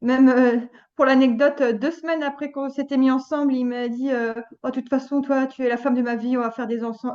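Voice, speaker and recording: A woman's voice: high voice, fairly even intonation, brisk, hardly any pauses, a little mellow, lo-fi sound. A woman in her thirties telling a personal story as a podcast guest, hesitant, with many fillers and restarts. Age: 30s. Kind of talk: personal story, casual chat.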